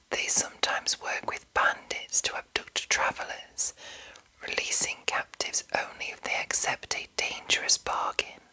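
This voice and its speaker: A woman whispering gently in an unhurried ASMR style, with a breathy, unvoiced delivery. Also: rough recording. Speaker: host of a whispered fantasy reading series. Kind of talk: whispered ASMR commentary and read-aloud.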